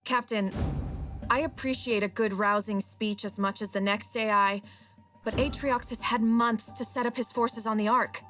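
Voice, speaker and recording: Woman voicing a higher-pitched, sharp-witted AI. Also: steady tone, normal speed, natural voice. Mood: wary, explanatory. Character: sharp-witted female artificial intelligence, wary and candid. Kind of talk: dramatic game dialogue. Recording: music playing behind the voice.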